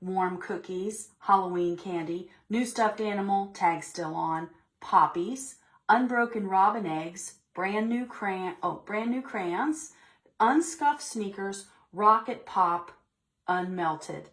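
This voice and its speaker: Expressive woman reading a storybook to children. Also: mid-range voice, takes it slowly. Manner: expressive, asking the children questions. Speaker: children's librarian leading preschool storytime. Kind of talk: read-aloud storytelling with asides to children.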